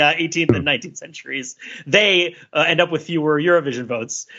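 A man's voice: fairly high pitch, sharp tone, lo-fi sound. A man talking casually as a podcast co-host, discursive and jokey, wandering through asides.

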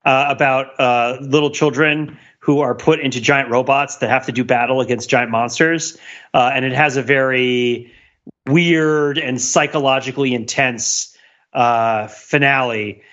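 A man's voice: mid-range voice, fairly even intonation, measured pace, fairly bright tone. Geeky American man, slightly dull audio. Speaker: podcast co-host. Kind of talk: casual explanatory chat. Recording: dull, band-limited sound.

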